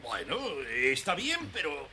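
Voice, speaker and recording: An actor playing a blustering but soft-hearted village miller in a fairy tale, with a husky, forceful voice. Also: male voice, fairly high pitch, huge pitch swings, slow, heavily acted. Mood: delighted, fond.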